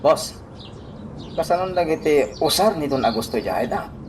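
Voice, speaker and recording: Man acting dutiful henchman, Ilocano Filipino accent, medium pitch, off-mic, faint noise, radio drama. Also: expressive, moderate pace, close to an everyday voice. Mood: deferential. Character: deferential, questioning henchman of a crime boss. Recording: slightly distant, faintly noisy.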